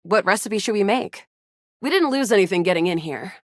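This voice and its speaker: A woman voicing a feisty, excitable young resistance fighter in a sci-fi video game, with a dramatic, playful delivery. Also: medium pitch, fairly quick, no echo, studio quality, lightly acted. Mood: confident, chatty. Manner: animated, dramatic, playful banter. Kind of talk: video game character voice acting.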